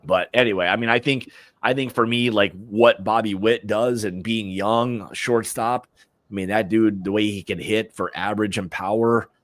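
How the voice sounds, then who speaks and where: Casting: American man, low register, opinionated sports show host, informal and slangy, close dry sound. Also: steady tone, normal speed, fairly bright tone, no room sound. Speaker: host of a sports prediction livestream. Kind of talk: casual sports chat.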